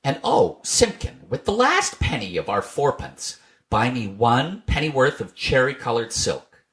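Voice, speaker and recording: A man's voice: mid-range voice, sing-song, measured pace, fairly bright tone, voice pushed up. A man voicing a poor, weary old tailor from a storybook, muttering to himself in old-fashioned phrases. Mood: weary, pleading.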